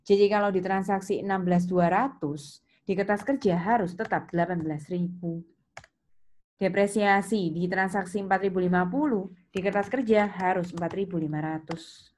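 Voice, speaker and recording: A woman's voice: mid-range voice, moderate pace, a little mellow. A woman with an Indonesian accent teaching step by step like a lecturer, in a didactic way with questions and reminders. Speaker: accounting lecturer. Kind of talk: step-by-step lesson with worked examples. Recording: clear background noise, keyboard clicks.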